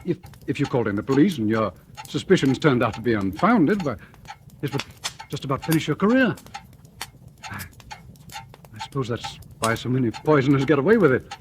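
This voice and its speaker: Actor doing a nervous stammering doctor, lively voice that jumps around, clear hiss on the old recording. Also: male voice, medium pitch, a little fast, pauses often, natural voice. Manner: stammering, hesitant. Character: hesitant nervous young doctor. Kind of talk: 1940s radio acting. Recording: hissy old radio broadcast.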